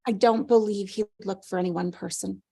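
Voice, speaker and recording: A woman's voice: mid-range voice, steady tone, a little fast, vocal fry, dark tone, husky, no room sound. Woman acting wistful mother, close dry sound. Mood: pained, firm. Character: wistful, sorrowful mother clinging to her child. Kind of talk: dramatic script table read.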